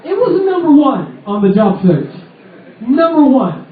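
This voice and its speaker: A man's voice: very high-pitched, huge pitch swings, a little slow. Sarcastic young man doing stand-up in a pub. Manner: rambling, sarcastic, loaded with "like" fillers. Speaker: stand-up comedian. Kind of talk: stand-up comedy set. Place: small sports pub comedy show, with crowd laughter. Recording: echoey lo-fi room recording from far off.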